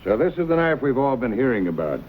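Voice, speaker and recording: A man acting as a chummy, wheedling frontier blacksmith. He talks quickly with folksy eagerness, in old western drama style on a thin, narrow-band recording. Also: medium pitch, very animated, natural voice. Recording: thin phone-like old recording, faint hiss.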